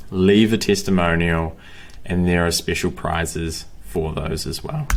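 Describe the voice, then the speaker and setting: A young man coaching runners, wrapping up a course in upbeat, motivational short phrases. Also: sits low. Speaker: running and breathing coach. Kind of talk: course wrap-up talk to camera.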